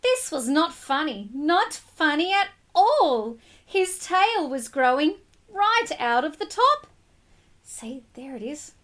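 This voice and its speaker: Woman, children's storybook reader. High-pitched, very animated, explains things simply and directly to young listeners. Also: slow pace. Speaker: teacher reading a picture book aloud to children. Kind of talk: animated storybook read-aloud with asides to children.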